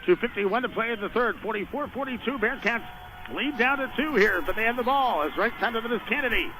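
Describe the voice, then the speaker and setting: A man's voice: very high voice, expressive, fairly quick, music in the background. A man doing live radio play-by-play of a basketball game in clipped, urgent phrases. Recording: noisy radio broadcast of a game.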